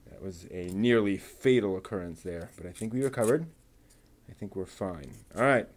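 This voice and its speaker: A man giving casual running commentary while he builds a model kit, talking slowly and methodically and half to himself. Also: low voice, darkish tone, slight background noise. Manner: muttering to himself, slow and methodical.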